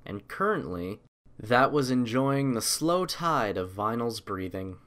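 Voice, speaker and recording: A man reading a story aloud as scripted audiobook narration, in a measured, literary storytelling manner. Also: mid-range voice, expressive, fairly bright tone.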